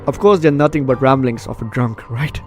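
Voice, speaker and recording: A man's voice: mid-range voice, fairly quick. A man hosting a casual let's play, chatting and reading a story game's text aloud. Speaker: gaming video host. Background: game music.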